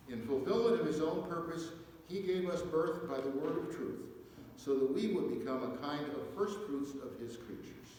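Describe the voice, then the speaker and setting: A man reading scripture aloud at a church service, with measured, deliberate phrasing and pauses. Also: fairly high pitch, a little mellow. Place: inside a church, reverberant. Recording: echoey church hall, distant mic, faint noise.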